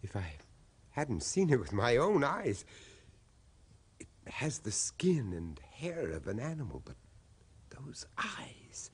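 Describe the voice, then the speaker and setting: An actor playing a grieving 19th-century father searching for his lost son in a 1970s radio horror play, speaking gravely and deliberately. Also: medium pitch, wildly swooping pitch, heavily acted. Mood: shaken. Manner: grave, dramatic, deliberate phrasing.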